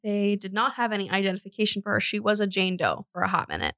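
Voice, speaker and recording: Casual woman storyteller with a creaky voice. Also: medium pitch, lively intonation, fast talker, fairly bright tone, no echo, clean studio sound. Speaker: true crime podcast host. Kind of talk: conversational true crime storytelling.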